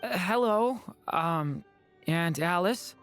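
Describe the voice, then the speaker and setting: An actor voicing a gentle, shy young dragon boy in an anime-style video game, hesitant and apologetic, with music behind. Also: mid-range voice, expressive, slow pace, clear, resonant tone. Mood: shy, puzzled. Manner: hesitant, soft-spoken, apologetic.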